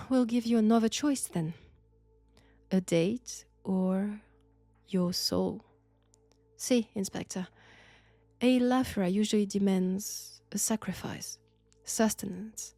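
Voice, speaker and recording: A woman with a French accent playing a sinister, flirtatious archaeology professor possessed by an ancient god, deliberate and teasing in an intimate audio roleplay. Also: medium pitch, gravelly, mellow, no echo. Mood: seductive, menacing. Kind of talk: slow, intimate roleplay acting.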